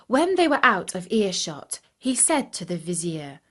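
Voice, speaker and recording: A woman's voice: on the low side, sing-song, a little slow, fairly bright tone, breathy edge. A woman reading a scripted fairy tale for children as a storyteller.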